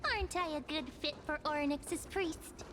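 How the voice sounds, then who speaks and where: A woman's voice: sits high, measured pace. A woman voicing a small, girlish, eager fairy-like creature in a video game, chirpy and self-assured. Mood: playful. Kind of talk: video game character voice acting.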